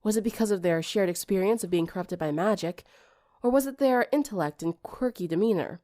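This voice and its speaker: A woman's voice: mid-range voice, brisk, mellow, no echo. A woman reading scripted fiction as an audiobook narrator.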